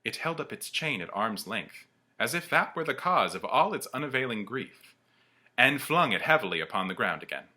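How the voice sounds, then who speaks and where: A man's voice: mid-range voice, very animated, normal speed, bright, forceful tone. A man narrating a classic story from the page as a community reader.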